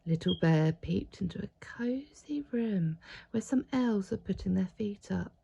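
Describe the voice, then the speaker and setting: Animated, creaky-voiced woman reading a storybook. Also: medium pitch, highs cut off, a little hiss or hum. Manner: dramatic, drawn-out emphasis on key words. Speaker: storybook reader. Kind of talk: expressive children's read-aloud narration.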